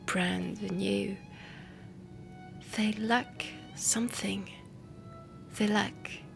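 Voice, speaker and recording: A woman playing a refined, ambitious heiress inventor in a steampunk audio roleplay, speaking softly and deliberately with a French accent, often close to a whisper. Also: mid-range voice, gravelly. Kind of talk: soft intimate audio roleplay. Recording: music under the voice. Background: background music.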